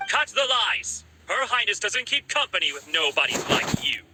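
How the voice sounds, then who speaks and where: A man's voice: sits high, very animated, moderate pace, very noisy. A man playing a brusque, officious royal guard in an anime game. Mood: dismissive. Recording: music behind the voice.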